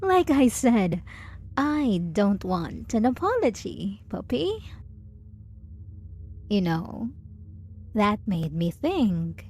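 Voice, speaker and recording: A woman playing a jealous, possessive yet doting lover in an intimate ASMR roleplay, teasing and condescending with deliberate pauses. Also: mid-range voice, sing-song, a little mellow, exaggerated voice. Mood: jealous, reproachful. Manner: slow, teasing, condescending pet-name cooing with deliberate pauses.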